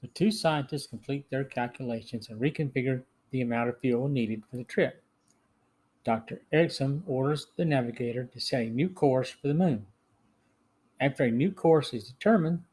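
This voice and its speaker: A man hosting a podcast, reading a scripted film summary in a measured, somewhat halting way with occasional stumbles. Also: medium pitch, darkish tone, slightly rough, low-quality recording. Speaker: podcast host.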